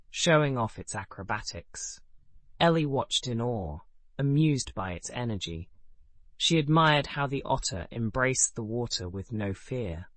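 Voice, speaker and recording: A man narrating a scripted bedtime story in a calm, measured way, his sentences falling away at the ends. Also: mid-range voice, no room sound.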